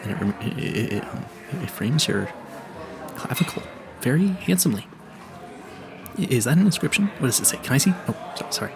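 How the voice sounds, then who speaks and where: A man's voice: mid-range voice, sing-song, a little fast, vocal fry, pauses often. A man playing an awkward, smitten young vampire hunter in a romantic audio roleplay, stammering nervously and apologizing politely. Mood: shyly smitten.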